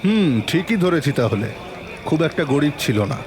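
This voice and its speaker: A man's voice: on the high side, very animated, moderate pace, crowd noise. Man playing a terse, authoritative police inspector. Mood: probing, thoughtful. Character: commanding, observant male police inspector, grave and probing.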